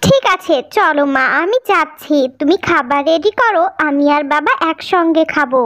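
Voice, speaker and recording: A woman's voice: sits high, expressive, normal speed, fairly bright tone. A woman voicing a sweet, youthful village mother and daughter in a cartoon fairy tale. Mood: caring. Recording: dull lo-fi sound.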